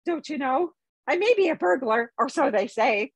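Lady doing a squeaky little hobbit guy from a storybook, fussy n polite, voice swoops around way up high. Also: animated, moderate pace, dry recording. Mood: nervous, sincere. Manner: fussy, chatty, hedging politeness. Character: small, fussy, polite male hobbit, nervous but plucky.

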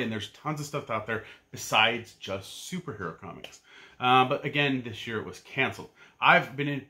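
A man in his thirties giving a casual, rambling rundown of his weekly comic picks from a shelf-lined room at home, full of ums and uhs and trailing off quietly. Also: medium pitch. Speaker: comic book vlogger. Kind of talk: casual pull-list rundown.

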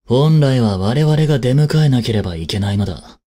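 An actor playing a cold, haughty young prince in an audio drama, with a husky, breathy voice and a curt, imperious manner. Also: male voice, mid-range voice, lively intonation, moderate pace, warm tone, close to an everyday voice. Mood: stern, scolding. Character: cold, haughty young prince scolding and fretting over his maid. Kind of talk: Japanese audio drama acting.

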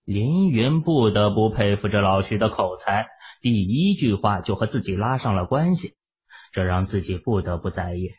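Male audiobook narrator, husky, lively pitch swings, thin phone-like sound. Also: moderate pace. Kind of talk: expressive scripted novel narration. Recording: muffled, phone-like narrow sound.

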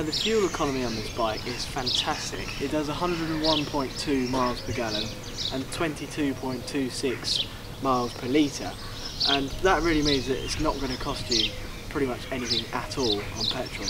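A young man co-hosting a motorcycle review, talking enthusiastically and plainly outdoors in a churchyard. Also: medium pitch. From England. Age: young adult. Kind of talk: casual on-camera review. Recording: outdoor recording with loud background noise.

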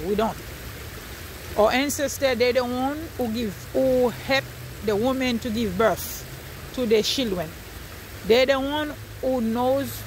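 A woman's voice: on the high side, a little hiss or hum. A woman from Haiti with a Haitian accent giving an earnest spiritual talk outdoors by a river at night, repeating her phrases as she preaches.

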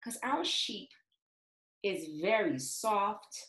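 A woman in her forties teaching young children in an expressive, encouraging, sing-song way, as in a read-aloud and craft lesson. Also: mid-range voice, extremely slow. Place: small indoor home classroom. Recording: roomy, echoey home room sound.

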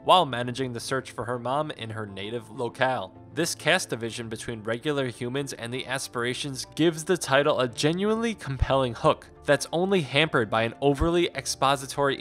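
A man reading a scripted game review in a measured, formal way. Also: medium pitch, fairly bright tone. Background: background music.

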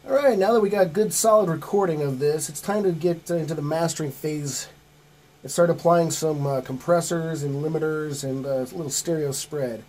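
Tutorial host, male, middle-aged. Relaxed, dark-toned delivery, informal and plain-spoken, walking through steps. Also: fairly high pitch, normal speed. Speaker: host of music recording tutorial. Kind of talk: informal step-by-step tutorial narration. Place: small home studio with acoustic foam.

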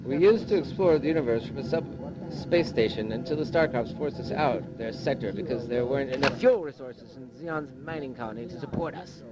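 A man narrating an amateur science fiction story in a halting, stumbling way. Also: medium pitch, loud music behind the voice, poor audio.

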